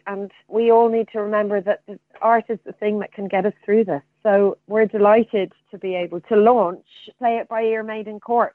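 A woman's voice: mid-range voice, dry recording. A woman comes through a narrow, phone-like connection, dry and close. She talks as an interview guest, enthusiastic and reflective, with a mid-range voice. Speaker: theatre artistic director, interview guest. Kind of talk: interview conversation. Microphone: remote phone or call. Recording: thin, phone-like line.

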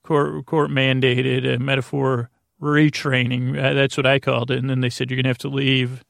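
Man, mid-pitched, gravelly fry, dry sound, rambling sleep-podcast monologue, halting, tangent-prone. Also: little pitch movement, no room sound. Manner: meandering, halting, self-interrupting with tangents. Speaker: podcast host. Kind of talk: rambling bedtime monologue to help listeners sleep.

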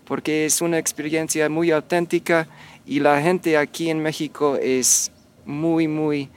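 A middle-aged man chatting as a guest, giving advice in a halting way with many fillers. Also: fairly high pitch, flat delivery, smooth. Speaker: guest, expat parent. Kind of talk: casual interview chat.

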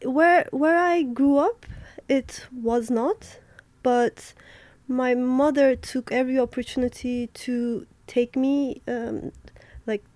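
A woman's voice: high voice, fairly even intonation, darkish tone, no room sound. Hesitant young woman from Turkey. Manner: thoughtful, halting, many fillers and restarts. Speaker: artist. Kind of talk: interview answers.

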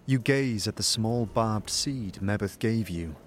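A man narrating a fantasy audio drama in a measured, descriptive storytelling manner. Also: lowish voice, slight background noise, close to an everyday voice. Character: male storyteller of a grim fantasy adventure. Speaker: narrator and protagonist. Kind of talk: scripted second-person fantasy narration.

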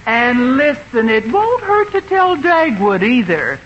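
Wildly swooping, wisecracking gal pal. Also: female voice, high-pitched, big pitch swings, slow pace, heavily acted. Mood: wry. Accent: American. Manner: gushing, exclamatory, wry. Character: wisecracking, nosy, self-mocking married woman friend. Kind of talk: 1950s radio sitcom acting. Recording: muffled old radio recording, faint noise.

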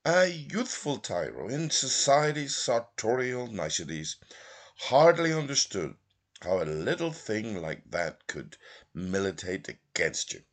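A middle-aged man reads literature aloud in a low, husky voice. His delivery is theatrical and measured, with deliberate pauses and sweeping, lively intonation. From Northern Ireland. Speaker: audiobook reader. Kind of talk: expressive literary narration.

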